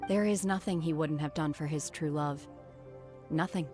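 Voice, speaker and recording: Aloof young female game character, played by an actress: low-voiced, solemn, emotionally flat in manner, measured. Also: over music, close to an everyday voice. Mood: detached. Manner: dispassionate, measured. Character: detached, solemn young woman raised by immortals. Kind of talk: video game voice acting.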